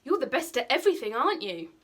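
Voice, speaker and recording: A woman's voice: sits high, sing-song, fast, a bit bright, pitched above the performer's own voice, exaggerated voice. A woman acts out a sardonic young Viking boy from a children's book. He is British, dry and sarcastic, a grumbler with little faith in his companions. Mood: mock flattering.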